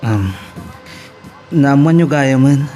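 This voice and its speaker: A man's voice: medium pitch, lively intonation, slow, dark tone, soft background music. A man playing a timid, evasive employee who sounds baffled and hesitant in an Ilocano audio drama, with an Ilocano accent. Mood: hesitant, uneasy. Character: flustered, evasive, timid male employee under pressure. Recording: clear background hiss and noise.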